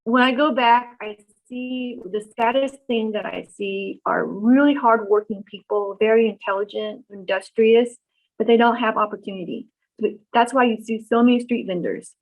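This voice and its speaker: A middle-aged woman born in Vietnam, answering questions in an oral history interview, pausing often with ums and restarts. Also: on the high side. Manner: thoughtful, many ums and restarts. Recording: dull lo-fi recording.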